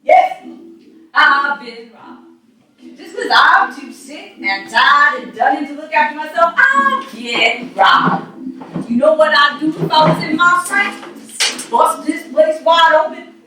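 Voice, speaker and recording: A woman playing a dying, bitter young woman in a stage play, scornful and prone to shouting, recorded from far off in an echoey studio. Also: high-pitched, big pitch swings, slow, crowd noise, heavily acted. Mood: defiant. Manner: shouting, scornful, feverish outbursts. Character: dying bitter young woman, sick in bed, fierce temper. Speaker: stage actor. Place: small theater studio.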